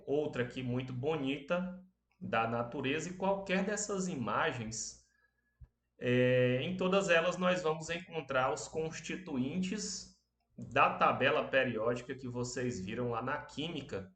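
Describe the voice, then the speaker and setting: A Brazilian man teaching an online class, speaking softly because he has a cold and a sore throat. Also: mid-range voice, reverberant. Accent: Brazilian Portuguese. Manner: speaks softly, slow and unhurried, many tag questions.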